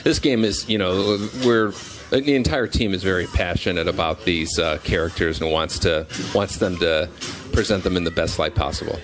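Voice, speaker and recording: A man with a deep voice gives casual interview answers as a game developer. He thinks aloud haltingly, with some music audible behind him.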